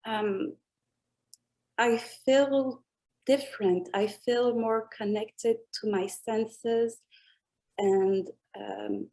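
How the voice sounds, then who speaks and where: Slow, thoughtful French-accented woman. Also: fairly high pitch, fairly even intonation, warm tone. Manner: slow, thoughtful, searching for English words, laughs often. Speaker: music artist guest. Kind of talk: reflective interview answers.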